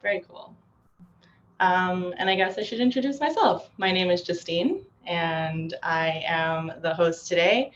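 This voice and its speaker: A woman hosting an online panel discussion over a video call, friendly and quick to laugh. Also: lowish voice, muffled recording, slight background noise. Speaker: panel host.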